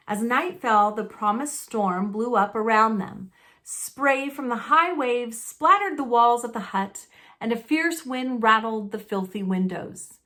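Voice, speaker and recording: A woman narrating a children's novel aloud, expressively, with deliberate and dramatic phrasing. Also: fairly high pitch, pushed and bright. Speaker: storybook narrator.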